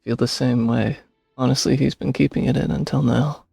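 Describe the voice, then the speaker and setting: A male game streamer with a very creaky, husky voice at a medium pitch. He talks casually, close on the mic in a dry space. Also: steady tone, normal speed, warm tone, no room sound, faint music behind. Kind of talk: casual gaming commentary and reading aloud.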